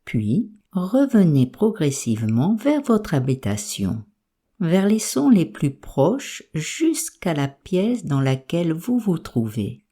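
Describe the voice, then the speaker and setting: A woman guiding a yoga nidra relaxation, giving calm, soothing, measured instructions with pauses. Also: sits low, breathy edge. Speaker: meditation guide.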